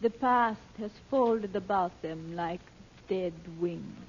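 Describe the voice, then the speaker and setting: A woman's voice: mid-range voice, slow, natural voice. A woman playing a dignified, solemn princess with formal, stately phrasing in an old radio serial. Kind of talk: 1930s-40s American radio serial acting. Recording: muffled old radio recording with hiss.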